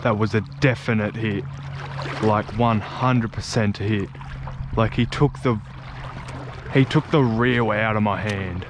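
A young man with an Australian accent narrating a casual fishing vlog in a laid-back, halting way. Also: on the low side, noisy background. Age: young adult. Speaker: fishing vlogger. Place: outdoors on a grassy riverbank. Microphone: body-worn or handheld action camera.

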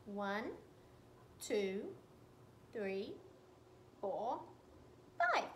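A woman in her thirties reading a picture book aloud to young children indoors, animated and questioning her listeners. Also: medium pitch, drawn-out words, slight background noise. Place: small room indoors.

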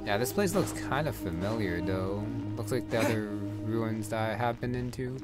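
Low-voiced man musing over gameplay. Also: normal speed. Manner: low-key, musing, thinking aloud. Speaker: gamer recording a playthrough. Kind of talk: casual gameplay commentary. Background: game music.

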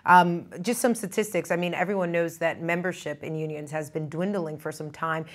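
A woman's voice: low voice, steady tone, a little fast. A woman in her thirties putting formal questions as a news interviewer in a studio. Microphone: lapel mic.

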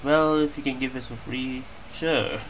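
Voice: medium pitch, fairly even intonation, measured pace, a little hiss or hum, poor audio. A game streamer giving casual reaction commentary, wry and offhand, with the odd swear word.

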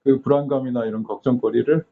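A man from the hospital staff interviewing a former patient, asking gently and affirming often. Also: medium pitch, fairly even intonation, quick pace, creaky. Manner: gentle, attentive, with frequent short affirmations. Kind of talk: casual interview. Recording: slightly dull recording.

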